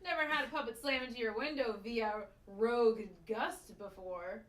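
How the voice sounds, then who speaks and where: A woman's voice: fairly high pitch, moderate pace, close to an everyday voice. A woman playing a teasing, breezy office colleague in a sitcom table read, wry and casual. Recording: echoey room, distant from mic.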